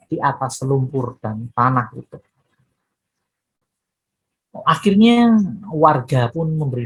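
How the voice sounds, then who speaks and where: A woman storyteller narrating a children's folktale expressively, with deliberate, drawn-out dramatic phrasing. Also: rumbling low, husky, low-quality recording.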